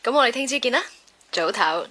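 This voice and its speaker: Coy young Cantonese girl, bright, animated. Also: on the high side, brisk. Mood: playful, coy. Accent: Hong Kong Cantonese. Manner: coy, teasing. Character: coy, teasing young woman chatting online. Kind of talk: radio drama acting.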